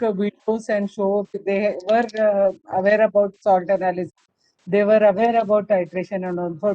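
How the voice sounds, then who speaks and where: A woman teacher gives earnest feedback and pauses often to search for words. Her voice is soft and mellow. The call sounds dull and lo-fi, with a faint hiss. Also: medium pitch. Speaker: school teacher. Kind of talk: workshop feedback. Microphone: video call.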